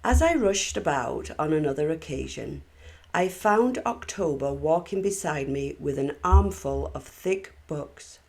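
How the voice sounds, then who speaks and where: A woman narrating a children's story expressively, with measured, deliberate phrasing. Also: deep voice, expressive, creaky, a little mellow. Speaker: storybook reader.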